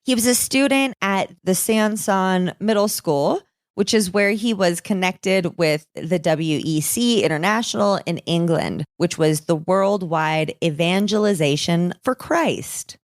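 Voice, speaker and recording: A woman's voice: lowish voice, a little slow, no echo, clean studio sound. A woman hosting a podcast, telling stories in an informal, sweary, chatty way. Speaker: podcast host. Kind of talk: casual storytelling chat.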